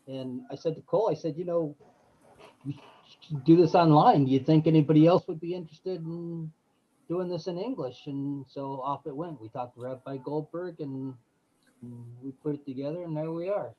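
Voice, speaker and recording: A man's voice: fairly high pitch, moderate pace, highs cut off. A man telling a story in a casual online chat, eager and full of fillers.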